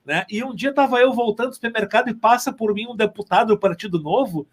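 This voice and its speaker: Homem gaúcho de voz mais aguda. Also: male voice, sits high, fast talker. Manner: often tags phrases with "né". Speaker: interview guest, musician and music teacher. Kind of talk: casual conversational storytelling.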